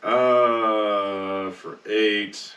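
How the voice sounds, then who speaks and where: A man's voice: lowish voice, steady tone, drawn-out words, fairly bright tone, a little hiss or hum. A man on a podcast panel, bantering casually with slangy, profane talk. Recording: roomy echo, distant mic.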